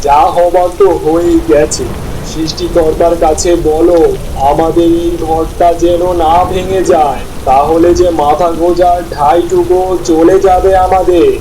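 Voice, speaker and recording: A man's voice: fairly high pitch, steady tone, unhurried, noisy background, natural voice. A man voicing a poor, distressed village farmer and father in a melodramatic cartoon, with a plaintive, wailing delivery. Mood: consoling, subdued.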